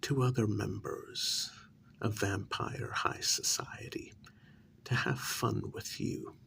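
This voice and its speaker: A man playing a cold, vengeful vampire lord in an ASMR role-play, speaking in a breathy half-whisper with slow, quietly threatening deliberation. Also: lowish voice, fairly even intonation, full character voice. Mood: sadistic menace.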